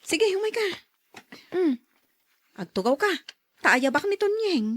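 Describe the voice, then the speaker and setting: An actress playing a practical farmer's wife who complains in a gently teasing way. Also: female voice, very high-pitched, big pitch swings, a little slow, dry recording, heavily acted. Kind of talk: audio drama acting.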